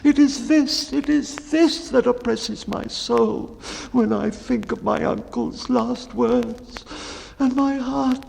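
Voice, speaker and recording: A man voicing a timid, mournful baker in a dramatic poetry reading, with a tearful, drawn-out lament. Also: extremely high pitch, steady tone, gravelly, darkish tone, long reverb tail, pitched above the performer's own voice, heavily acted. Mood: anguished.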